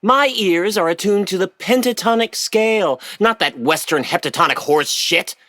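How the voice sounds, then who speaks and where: A man's voice: high voice, animated, normal speed, bright, forceful tone, dry recording, studio quality. A man acting in an audio play as a strict, scornful authority figure with a stern, declamatory delivery. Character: strict father, later scornful bombastic sentencing official. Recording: music behind the voice.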